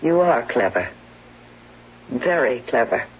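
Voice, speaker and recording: An actress playing a suspicious, bedridden wife, weak and breathy, accusing and pleading in a 1970s radio drama. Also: female voice, rumbling low, expressive, takes it slowly, very noisy, exaggerated voice. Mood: bitter, accusing. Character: ailing bedridden suspicious wife, bitter and desperate. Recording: dull vintage radio broadcast recording.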